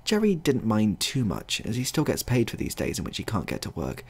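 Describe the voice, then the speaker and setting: A man narrating a scripted comic short story as a storyteller, in a husky, gravelly voice. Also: lowish voice, huge pitch swings, a little fast, a little mellow.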